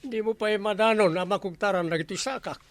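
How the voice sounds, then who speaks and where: A man's voice: high voice, fast talker, no echo, natural voice. A man with an Ilocano accent acting as a teasing, exasperated village captain in a radio comedy drama. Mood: exasperated banter. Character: male village captain testing recruits for watchman, bantering, exasperated.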